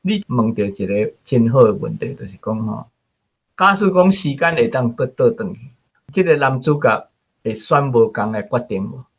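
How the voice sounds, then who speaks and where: A man's voice: medium pitch, animated, normal speed, breathy edge, lo-fi sound. A man with a Taiwanese accent in casual, conversational discussion, often ending phrases with little tag particles. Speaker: radio show guest or host discussing the story.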